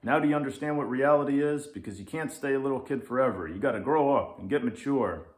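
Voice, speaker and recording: A man's voice: mid-range voice, quick pace, pitched above the performer's own voice, natural voice. A man voicing a grumpy, harried male lynx in a children's story, with an emphatic, scolding, impatient manner. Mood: emphatic, lecturing. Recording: roomy echo.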